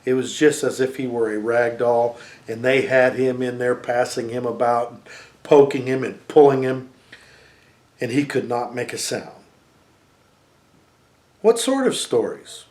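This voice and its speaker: A middle-aged man reading a story aloud to his family, giving an expressive storybook narration. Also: mid-range voice, measured pace.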